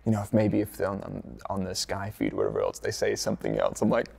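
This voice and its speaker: A laid-back American man from Dallas talks through interview answers with a low, gravelly voice. He keeps it chatty, full of fillers and jokey asides. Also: very animated, fast, crowd noise. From United States, Dallas. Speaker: F1 content creator, former performance engineer.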